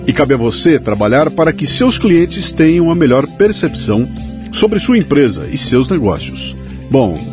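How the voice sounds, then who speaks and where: A Brazilian man hosting a podcast, moving between scripted sponsor reads and informal, colloquial conversation. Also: mid-range voice. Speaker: podcast host.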